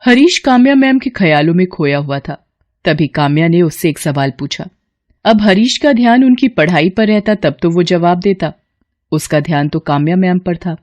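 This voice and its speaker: A woman narrating a scripted bedtime story in a steady, even manner. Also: on the low side, moderate pace, no echo.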